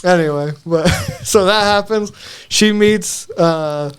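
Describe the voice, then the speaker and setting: A man's voice: high-pitched, slow pace, clean tone. A man co-hosting a casual podcast, retelling a film plot with swearing, jokes and frequent chuckles. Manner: sweary, joking, often chuckling while talking. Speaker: podcast co-host reviewing movies.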